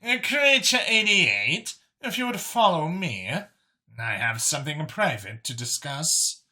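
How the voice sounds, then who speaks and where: An imperious alien councilwoman from a science fiction audiobook, acted out by a man, pitched high and swinging widely in tone. She is confident and formal, leaning deliberately on her words with a bright edge. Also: big pitch swings, full character voice. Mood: commanding. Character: imperious female alien official, confident, formal, free-thinking council chair.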